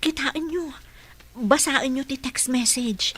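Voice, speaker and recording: Woman playing a higher-pitched, dutiful caregiver mother. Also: takes it slowly, a bit bright. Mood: worried. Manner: respectful, earnest. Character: dutiful, deferential caregiver, a young boy's mother. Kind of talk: audio drama acting.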